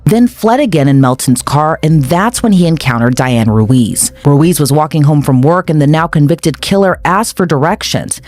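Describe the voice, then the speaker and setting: A man's voice: on the high side, animated, normal speed, hardly any pauses, darkish tone. A man reading scripted crime news narration as a reporter.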